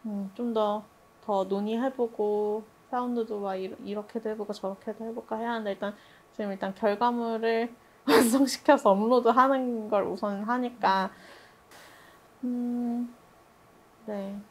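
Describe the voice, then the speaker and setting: A woman's voice: a little high, steady tone, moderate pace, slight background noise. A woman speaking as a production director in a reflective group discussion, thoughtful and hesitant, often laughing a little as she talks.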